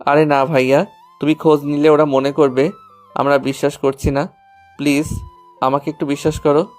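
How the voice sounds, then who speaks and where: A man's voice: a little high, level tone, normal speed, darkish tone, soft background music, close to an everyday voice. A man playing a lovestruck, evasive younger brother, an eager young man in an audio story. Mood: pleading. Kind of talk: Bengali audio story acting.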